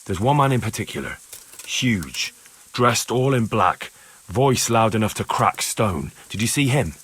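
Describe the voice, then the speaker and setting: An actor playing an earnest, persistent young investigator in a video game, questioning in a measured way. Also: male voice, on the low side, very animated, a bit bright, slightly rough, heavily acted. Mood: probing. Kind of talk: video game dialogue acting.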